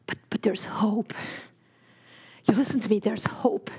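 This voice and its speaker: A woman in her forties from Lebanon, giving a fast, joking and fervent sermon at a women's retreat. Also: mid-range voice, fairly even intonation, mellow, breathy edge, big-room sound. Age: 40s. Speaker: retreat speaker.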